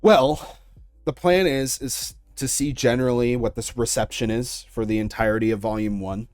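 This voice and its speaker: A young man talking casually as an interviewed online creator, in a measured, considered way. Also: medium pitch, steady tone, darkish tone, no room sound, clean studio sound, soft background music. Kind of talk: casual interview chat with YouTube-style sign-off.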